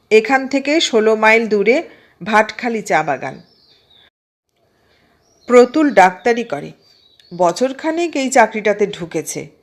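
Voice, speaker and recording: Woman narrator, highish voice, unhurried pace, expressive scripted storytelling. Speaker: audiobook narrator.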